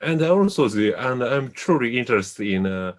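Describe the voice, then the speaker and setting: A man from Japan, a native Japanese speaker with a Japanese accent, hosting a casual talk in English. He often pauses to search for the right English word. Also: medium pitch, very animated. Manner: hesitant, searching for English words. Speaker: host. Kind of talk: casual chat in a second language.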